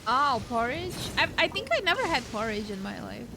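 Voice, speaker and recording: High-pitched Brazilian woman streaming a game. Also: big pitch swings, fairly quick, background noise. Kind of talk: casual let's-play commentary. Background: video game music.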